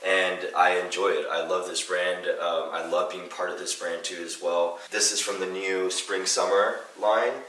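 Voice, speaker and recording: Low, flat-voiced congested young man. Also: a little slow, few pauses, far from the mic. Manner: relaxed, rambling, many fillers. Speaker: CrossFit athlete. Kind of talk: casual vlog chat. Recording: echoey room, picked up from afar, loud music.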